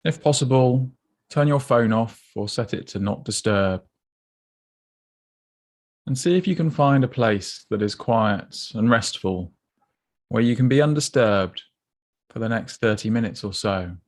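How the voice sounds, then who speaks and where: A man with a mid-pitched voice guides a body scan meditation. He speaks gently and without hurry, giving soft instructions and leaving space between his phrases. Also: lively intonation. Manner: gentle, unhurried, instructive, with pauses.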